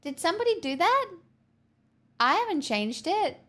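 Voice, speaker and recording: A woman's voice: very high voice, big pitch swings, moderate pace, sharp tone. A woman live streamer chatting casually and candidly with viewers, answering their messages with frequent restarts and the odd swear.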